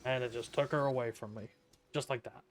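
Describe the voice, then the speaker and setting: A man giving casual, sarcastic live commentary as he plays a game, with a deadpan manner. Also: mid-range voice, fairly quick, slight background noise. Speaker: game streamer. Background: game music.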